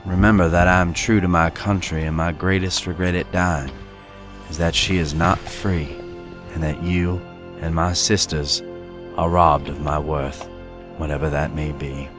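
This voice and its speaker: Man acting a solemn, low-voiced dying young soldier. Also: little pitch movement, music in the background. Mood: solemn, resigned. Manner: solemn, measured. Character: dying young Confederate soldier writing a last letter home. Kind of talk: dramatic reading of a letter.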